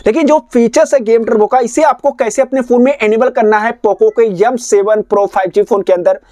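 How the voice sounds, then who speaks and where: A man's voice: very high-pitched, fairly even intonation, fairly quick, dark tone, dry recording, clean studio sound. A man narrating a step-by-step phone tutorial in a repetitive, instructional way. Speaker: tech tutorial host.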